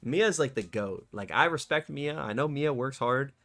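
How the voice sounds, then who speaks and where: A male streamer chatting casually and excitably on a live stream, his voice a bit scratchy from cheering, in a warm home room. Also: medium pitch, moderate pace. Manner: excitable, slangy, rambling.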